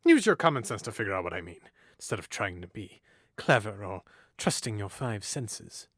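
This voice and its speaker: Male actor as a restless, teasing young nobleman for audio drama; lively swings, dry booth. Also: on the low side, normal speed, breathy edge, dry recording, full character voice. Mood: playful. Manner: playful, teasing, theatrical, swings from hushed to loud.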